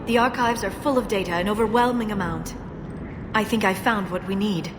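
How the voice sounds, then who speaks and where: A woman playing an earnest, soft-spoken alien scientist in a video game, measured and precise but full of self-doubt. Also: mid-range voice, background noise, natural voice. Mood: earnest, explaining. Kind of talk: dramatic video game voice acting.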